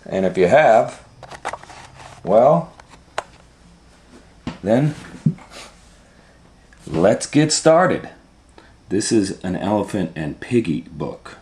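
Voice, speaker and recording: Slow-paced male children's storytime reader. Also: fairly low pitch, sing-song, darkish tone. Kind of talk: animated read-aloud for children. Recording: roomy, echoey room sound.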